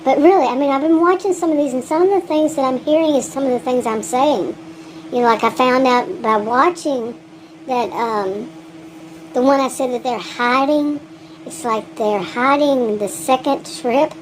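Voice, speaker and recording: A woman's voice: high voice, normal speed, a little hiss or hum, poor audio. A woman with a thick Texan accent, vlogging in a rambling, frank monologue, often laughing and restarting her sentences. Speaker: gig delivery vlogger.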